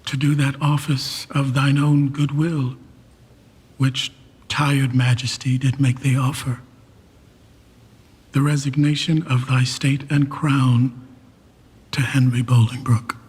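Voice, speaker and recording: Sober lord, hoarse-grained and slow, formal Shakespearean radio role, long reverb. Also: male voice, medium pitch, level tone, darkish tone. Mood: grave, formal. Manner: formal, measured. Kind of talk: Shakespearean verse radio acting. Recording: reverberant hall.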